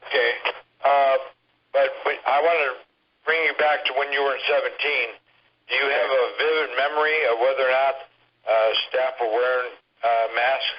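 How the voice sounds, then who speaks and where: A man's voice: medium pitch, steady tone, moderate pace. A man casually moderating a telephone conference call, checking in with callers and asking them questions. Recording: muffled lo-fi telephone conference line.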